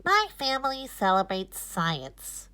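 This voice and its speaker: A woman's voice: mid-range voice, wildly swooping pitch, slow, pushed and bright, full character voice. A woman voicing a keen, earnest young schoolboy explaining things in a children's storybook. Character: young schoolboy Kevin, keen little science fan. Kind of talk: children's storybook read-aloud.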